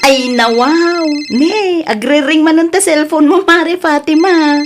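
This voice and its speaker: A woman's voice: high voice, unhurried, background noise. A woman playing an anxious, nagging housemaid who frets over her co-worker in a comedy radio drama. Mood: curious.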